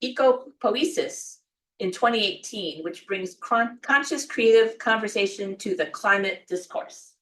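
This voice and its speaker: A woman's voice: mid-range voice, measured pace, a bit bright. A woman giving the announcements and introductions at a literary event, with many ums and uhs. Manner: reads off a list, with frequent ums and uhs. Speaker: event organizer and development staff. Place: event room at a book club. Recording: echoey room from far off.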